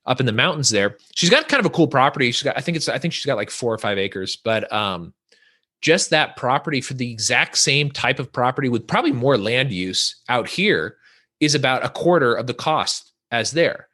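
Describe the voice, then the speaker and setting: Bright-voiced rambling man from Northern California. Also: medium pitch, lively intonation, moderate pace. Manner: rambling, many restarts and fillers. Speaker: podcast guest. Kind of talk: casual podcast conversation.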